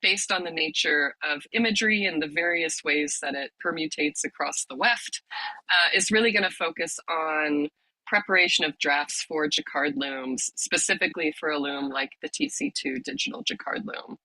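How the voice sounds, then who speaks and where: Woman, workshop leader, slightly hoarse from a cold, bright voice, friendly explaining, dry close sound. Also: low-pitched, expressive, moderate pace, no room sound, low-quality recording. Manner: friendly, explanatory, with frequent ums. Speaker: workshop leader, lab director. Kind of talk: online workshop presentation.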